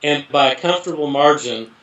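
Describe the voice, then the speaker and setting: A man's voice: on the high side, a little fast, a bit bright, highs cut off. Folksy man, a candidate answering questions. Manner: folksy, homespun phrases. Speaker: congressional candidate. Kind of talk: political interview answers.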